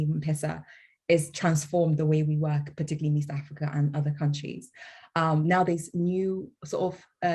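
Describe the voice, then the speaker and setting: A woman's voice: sits low, dark tone. A young woman from Tanzania speaking on a panel, hesitant with many ums. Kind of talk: casual discussion.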